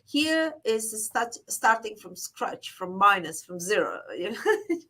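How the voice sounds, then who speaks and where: A woman from Latvia, a surgeon, speaking as an interview guest in a halting way, repeating words as she goes. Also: mid-range voice, very animated, bright, forceful tone. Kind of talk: interview conversation.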